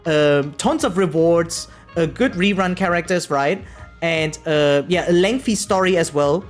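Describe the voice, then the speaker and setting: A video game streamer chatting casually and informally to viewers in an animated, opinionated way. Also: mid-range voice, a little slow, faint music behind. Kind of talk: casual livestream chat.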